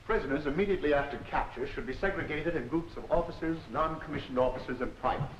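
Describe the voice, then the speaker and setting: A man's voice: on the high side, quick pace, close to an everyday voice. A man playing a no-nonsense army captain who lectures firmly and precisely in a 1940s training film. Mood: firm, instructive. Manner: firm, matter-of-fact, precise. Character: brisk, no-nonsense male army captain instructor. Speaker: military instructor. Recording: dull, hissy old film soundtrack.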